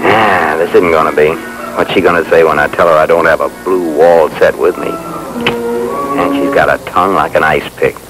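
An American actor playing a harried, polite telephone installer who speaks in a hesitant, placating, folksy way. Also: male voice, sits low, sing-song, moderate pace, poor audio. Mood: muttering, worried. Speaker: actor in a training film. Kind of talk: 1960s training film acting. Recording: old film soundtrack, music behind.

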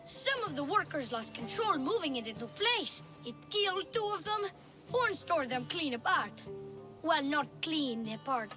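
A woman's voice: sits high, big pitch swings, a little slow. A woman voicing a young boy who is a confident, salesy tour guide and huckster, in a video game. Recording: game music underneath.

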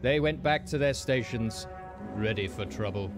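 Male comic radio-play narrator, steady storyteller, music behind. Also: mid-range voice, moderate pace.

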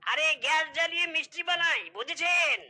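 A woman's voice: extremely high pitch, brisk, sharp tone, full character voice. A woman voicing a blunt, exasperated sweet-shop seller in a comedy cartoon, explaining things with open scorn. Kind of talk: comedy cartoon character dialogue.

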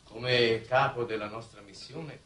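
An actor playing a calculating chief diplomat, formal, courteous and assured, in 1960s Italian TV drama style. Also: male voice, mid-range voice, steady tone, a little slow, fairly bright tone, close to an everyday voice. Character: suave, calculating male diplomat leading a mission. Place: television studio set. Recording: distant, noisy old television soundtrack.

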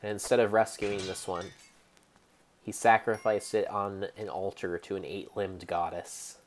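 A young man with a low voice gives a dramatic reading of a video game. He speaks theatrically and deliberately, pausing between phrases like a storybook narrator. There is light noise behind him. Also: little pitch movement, rough recording. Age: young adult. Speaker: game streamer.

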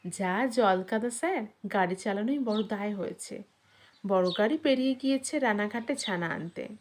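A woman's voice: mid-range voice, moderate pace, a little hiss or hum, natural voice. Woman voicing a meek, deferential male employee. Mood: humble. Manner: humble, polite. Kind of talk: audio storybook character dialogue.

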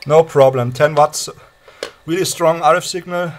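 A man casually presenting a hands-on electronics demonstration in a halting, explanatory way, recorded on a handheld camera in a room at home. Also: on the high side, fairly even intonation, a little hiss or hum. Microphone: handheld camera held by the speaker.

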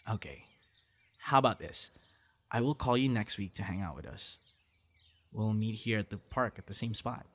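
A man's voice: fairly low pitch, expressive, moderate pace, pauses often. Man acting a friendly, coaxing young guy. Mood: earnest, pleading. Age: young adult. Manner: earnest, coaxing, sighs and breathy reactions. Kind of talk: ASMR audio roleplay, one-sided dialogue to listener.

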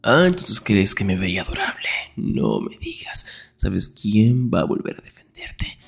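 A man acting a grumpy, jealous, protective young best friend in an intimate Spanish-style ASMR roleplay, breathy and often whispering, defensive and sarcastic. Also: fairly low pitch, sing-song, unhurried, a little hiss or hum, full character voice. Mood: defensive, irritated.

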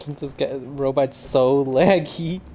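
A man's voice: medium pitch, slow, warm tone, slight background noise. A man playing a video game, chatting casually with another player. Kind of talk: casual co-op gaming chat.

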